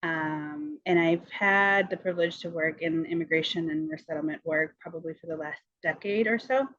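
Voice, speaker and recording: Low-voiced woman presenting in a roomy space. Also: normal speed, fairly bright tone. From Guatemala. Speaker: nonprofit co-director presenting a project. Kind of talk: informal presentation. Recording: roomy, slightly dull sound.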